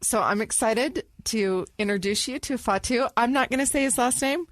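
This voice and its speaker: A woman's voice: a little high, moderate pace, no room sound. A woman co-hosting a podcast, upbeat and chatty as she talks with a guest. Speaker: podcast co-host. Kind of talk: casual interview talk.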